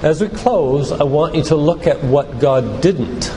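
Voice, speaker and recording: An older man giving a sermon-like lecture in a church, speaking in an earnest, deliberate, emphatic way with repetition. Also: a little high. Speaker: doctor and guest church speaker. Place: church, live talk. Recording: echoey hall with loud background noise.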